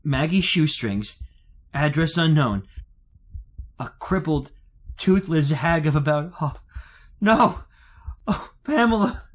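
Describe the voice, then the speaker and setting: A husky, anxious husband played by a man caught in an old-time radio horror drama. He speaks urgently and haltingly, with a rough edge to the voice. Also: on the high side, expressive. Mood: horrified. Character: anxious trapped husband piecing together a horror. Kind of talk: 1930s horror radio acting.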